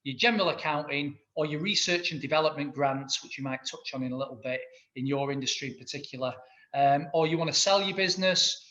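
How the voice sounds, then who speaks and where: A man's voice: fairly high pitch, fast talker, pushed and bright. A man from Manchester with a Mancunian accent, an accountant answering interview questions enthusiastically, rambling with many restarts. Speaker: guest, accountant and business owner.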